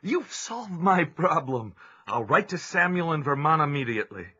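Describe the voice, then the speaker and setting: A young preacher in an old-time radio drama, earnest, lively voice, lo-fi with faint music. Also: male voice, on the high side, normal speed. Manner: earnest, devout. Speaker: narrator and lead character. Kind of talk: audio drama acting with narration. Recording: dull lo-fi audio with music.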